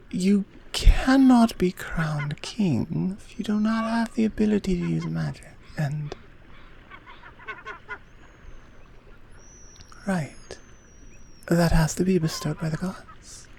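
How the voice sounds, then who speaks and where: Amazonian queen, played by a woman: lowish, slow, soft and breathy, earnest and regal, for intimate roleplay ASMR. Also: animated. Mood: sincere, persuasive. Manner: soft, breathy, measured, half-whispered delivery. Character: gentle, earnest Amazonian queen courting a guest. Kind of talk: roleplay ASMR, intimate second-person audio drama.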